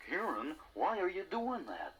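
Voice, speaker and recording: A man's voice: sits high, huge pitch swings, measured pace, a little hiss or hum, exaggerated voice, poor audio. A man voicing a shy, lovestruck creature in a children's storybook recording, hesitant and bumbling in manner. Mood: worried. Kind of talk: children's storybook cassette acting with songs. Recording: 1980s cassette with loud music behind.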